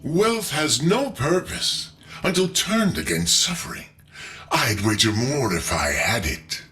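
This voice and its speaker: A man playing a proud, defiant renegade idealist in a video game, speaking gravely and formally. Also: on the high side, sing-song, slow, full character voice. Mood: resolute. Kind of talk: video game drama acting. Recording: loud music behind the voice.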